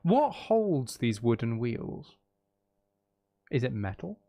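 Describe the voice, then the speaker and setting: Playful man bantering, slightly creaky voice. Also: mid-range voice, big pitch swings, takes it slowly, dark tone. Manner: playful, teasing, rambling self-deprecating banter. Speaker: livestream host chatting with viewers while playing a game. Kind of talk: casual livestream chat with gameplay commentary.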